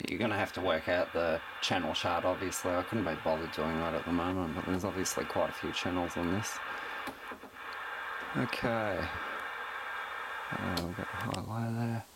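Male hobbyist presenter, very low pitch, informal demo delivery, camera mic with clear background noise. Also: steady tone, normal speed. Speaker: radio hobbyist presenting his equipment. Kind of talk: casual unscripted product demonstration. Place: indoors at a desk, behind the camera.

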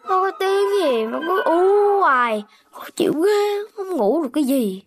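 Very high-voiced little puppy boy. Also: big pitch swings, moderate pace, slight background noise. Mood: annoyed. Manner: childlike, eager questioning. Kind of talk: children's cartoon acting.

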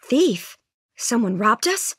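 A woman's voice: on the high side, sing-song, takes it slowly, a bit bright, slightly rough, no room sound, studio quality, exaggerated voice. A woman playing an earnest, anxious teenage girl survivor in a video game, friendly and folksy but hesitant and weighed down by guilt. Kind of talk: naturalistic video game voice acting.

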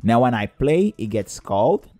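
A man's voice: medium pitch, very animated, normal speed, warm tone, no echo, faint music behind. A man narrating a scripted step-by-step coding tutorial in a methodical, explanatory way. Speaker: tutorial presenter.

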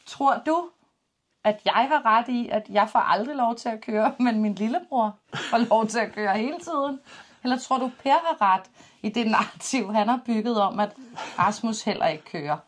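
A woman, chatting casually with teasing banter as a co-host. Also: on the high side. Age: early 40s. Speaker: video podcast host. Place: small home office with bookshelves, indoors. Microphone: clip-on lavalier.

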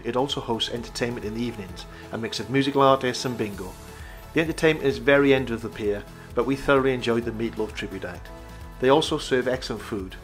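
Man narrating a calm travel voice-over. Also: mid-range voice. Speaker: campsite reviewer.

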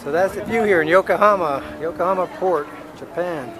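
A man's voice: high voice, fairly quick. A man casually narrating a walking tour of an outdoor waterfront plaza, filming on a handheld camera. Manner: relaxed, offhand commentary while walking. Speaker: travel vlogger. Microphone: handheld camera mic. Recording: noisy outdoor camera audio.

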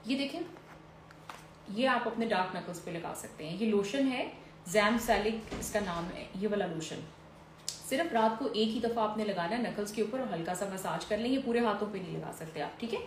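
A woman's voice: medium pitch, moderate pace. A woman in her forties, a dermatologist on a live stream, reads viewer questions aloud and answers them in a conversational, advisory way with many "uh" fillers. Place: indoor room, seated in a fur-draped chair. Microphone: phone or camera on a stand, mid framing. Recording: echoey room, faint background hiss.

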